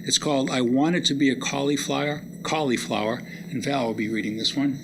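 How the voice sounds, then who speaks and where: Middle-aged guy doing a poetry talk, mid-pitched voice, pretty noisy room recording. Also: steady tone, brisk. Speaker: college lecturer and poet presenting readings. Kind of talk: public talk with recited poems. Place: live lecture event before listeners. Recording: noisy live hall recording.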